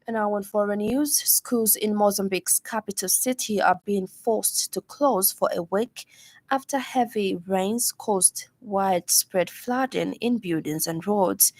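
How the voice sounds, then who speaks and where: A woman reading the evening news bulletin in a radio studio, in a measured, formal newsreader's delivery. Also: medium pitch, darkish tone, dry recording. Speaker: news reader.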